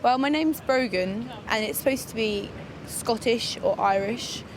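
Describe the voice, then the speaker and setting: A woman's voice: fairly high pitch, a little slow. A young woman casually answering interview questions outdoors in a city. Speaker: street interviewee. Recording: clear outdoor urban traffic noise.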